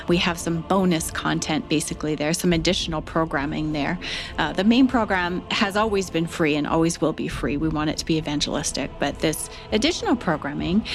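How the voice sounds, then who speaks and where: A woman speaking as an interview guest, explaining things in a conversational way. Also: lowish voice. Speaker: show producer and interview guest.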